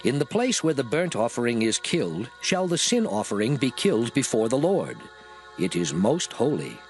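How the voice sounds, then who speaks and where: A man giving a solemn, declarative, measured dramatized reading of old scripture. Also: mid-range voice, rough recording. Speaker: scripture reader. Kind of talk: dramatized King James Bible reading, scripted. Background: music.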